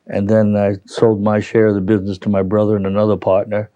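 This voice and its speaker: An older man with a Brooklyn accent reminiscing as an interviewee, halting and rambling as he recalls. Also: on the low side, monotone, warm tone, no room sound, muffled recording. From New York, United States. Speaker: interviewee, retiree. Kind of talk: reminiscing in an oral history interview.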